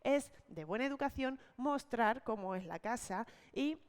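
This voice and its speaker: A woman leading an online teacher-training talk, explaining step by step and often checking in with quick tag questions. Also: fairly high pitch, expressive, darkish tone, smooth. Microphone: video call.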